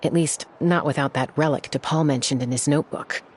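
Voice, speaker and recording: A woman playing a composed, confident young flying expert in a video game, speaking in a measured way. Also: low-pitched, darkish tone, slight background noise, lightly acted. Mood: calm, confident. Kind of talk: video game character dialogue.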